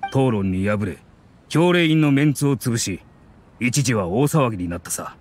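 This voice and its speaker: An actor playing a stern, proud middle-aged scholar father, speaking in a stiff, measured, restrained way in a Japanese game dub. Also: medium pitch, animated, a little mellow, slight background noise, natural voice. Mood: reserved, matter-of-fact.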